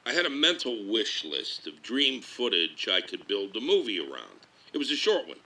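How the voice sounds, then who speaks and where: A very bright, hard-edged male voice acted by a man plays a sleazy B-movie producer in an audio drama. He is lowish in pitch and brash, spinning his story in crude, wisecracking mid-century showbiz slang. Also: wildly swooping pitch, moderate pace, heavily acted, rough recording. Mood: brash, wry. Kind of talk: documentary-interview style monologue, mid-century showbiz slang.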